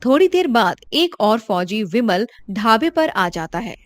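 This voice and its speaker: A woman narrating a cartoon story in a calm, even storytelling voice. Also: fairly high pitch, a little slow, no room sound, studio quality.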